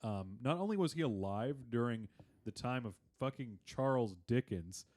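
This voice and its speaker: A man with a medium-pitched voice chats as a podcast co-host. He explains things in an informal, bantering way and drops in swear words casually. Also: lively intonation, moderate pace, a little mellow. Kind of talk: casual chat, comedic banter.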